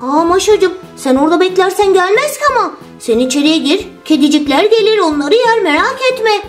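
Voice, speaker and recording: Lady doing big friendly bear guy, drops lower than her squeaky voices, gentle, patient, kids cartoon, music behind. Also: animated, brisk. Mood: reassuring. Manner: reassuring, gentle. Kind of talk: children's toy-play story.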